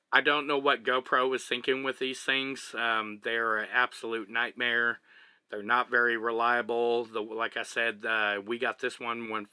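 A man's voice: medium pitch, clear, resonant tone, no room sound. A man in his thirties with a Texan accent, casually walking through his camera setup step by step inside a truck cab. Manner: relaxed, chatty, explains step by step while handling gear. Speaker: vlogger. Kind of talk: casual gear demonstration. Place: small living cabin of a truck, indoors.

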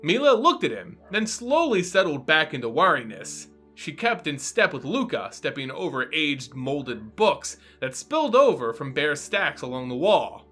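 A man's voice: fairly high pitch, sharp tone. A man in his thirties hosting and narrating stories dramatically, with a theatrical, teacher-like address to his listeners. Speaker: host and story reader. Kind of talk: dramatic story narration and explainer. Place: small home room, indoors. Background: music.

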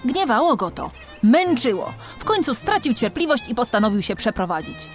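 A woman's voice: a little high, big pitch swings, moderate pace, faint music behind. A woman reading a children's storybook as an audiobook narrator, expressively and with dramatic emphasis.

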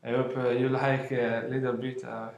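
A man in his twenties from Italy, speaking English with an Italian accent, unboxing an album at home, halting with many fillers and apologies. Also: medium pitch, little pitch movement, creaky, darkish tone, reverberant. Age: 20s. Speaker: vlogger. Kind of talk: casual unboxing vlog. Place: room at home, indoors. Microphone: webcam at arm's length.

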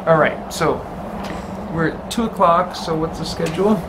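A man's voice: a little high, moderate pace, noisy background. A man in his forties, a father, chatting casually with his family at home. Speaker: gaming video host, father. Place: home room with shelves, indoors.

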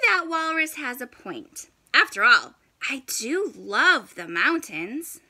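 A woman's voice: sits high, sharp tone, full character voice. A woman voicing a grumpy young male penguin in a children's storybook, complaining in an exasperated, melodramatic way. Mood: resigned.

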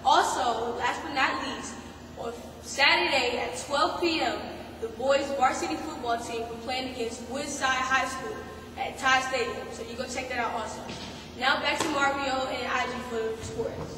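Brief: teen girl, high voice, chatty sports rundown in a very echoey gym, off-mic. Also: moderate pace, bright, forceful tone. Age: teens. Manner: upbeat and chatty. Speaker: student sports reporter. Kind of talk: sports announcements. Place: school gym. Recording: echoey gym from far off with noise.

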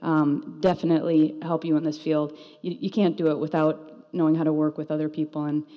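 A woman's voice: deep voice, flat delivery, brisk, muffled recording. A woman giving an informal ceremony speech as a faculty member. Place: large indoor auditorium. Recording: reverberant hall.

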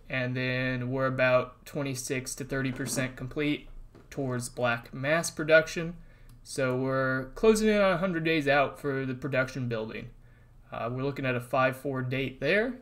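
A man narrating a casual voice-over update on a construction project, explaining relaxed and plainly with frequent ums and uhs. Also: medium pitch. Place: voice-over, not recorded on site.

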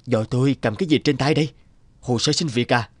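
A man voicing a smug, suspicious middle-aged company boss in a cartoon, blustering with hesitant pauses. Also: medium pitch, dry recording, lightly acted. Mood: incredulous. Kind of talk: cartoon dialogue.

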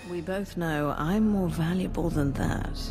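An actress voicing a cold, ruthless female dark lord in a video game, curt and commanding. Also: fairly low pitch, unhurried. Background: game music.

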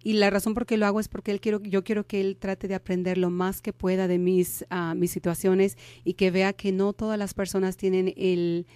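A woman in her thirties, born in Mexico, speaking as an interview guest and telling her story candidly with pauses and restarts. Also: medium pitch, flat delivery, a little mellow, clear, resonant tone, no echo. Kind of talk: conversational interview.

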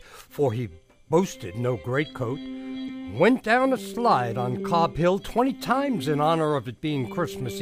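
A man narrating a scripted story for a radio drama, in a storybook style. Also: on the high side.

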